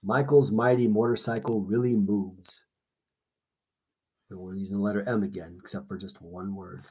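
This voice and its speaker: A man with a fairly low, very mellow, soft-edged voice speaks as a teacher in an informal lesson. He explains and reads aloud in an instructional manner, checking in with his students as he goes. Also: fairly even intonation, normal speed, husky, lo-fi sound. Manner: explanatory, checks understanding with short questions.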